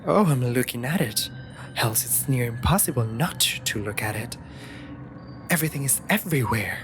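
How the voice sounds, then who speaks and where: A man's voice: mid-range voice, animated, normal speed, lightly acted. Man playing a sardonic, theatrical raven familiar over music. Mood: wry, fussy. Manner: playful, sardonic, theatrically indignant. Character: teasing, dramatic male raven familiar of a mage. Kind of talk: scripted audio roleplay acting.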